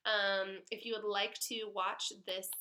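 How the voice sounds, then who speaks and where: A woman's voice: mid-range voice, little pitch movement, unhurried, pushed and bright. A woman reading a children's storybook aloud expressively, in the way of a teacher.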